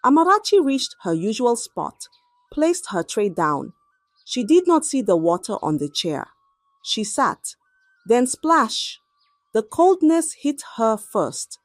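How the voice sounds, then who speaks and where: A woman storyteller giving a dramatic scripted narration of a folktale in short, measured phrases. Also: on the high side, very animated, dry recording, faint music behind.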